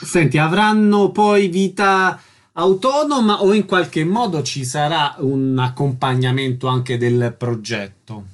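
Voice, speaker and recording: A man's voice: a little high, slow. A man asking questions casually as a radio interviewer.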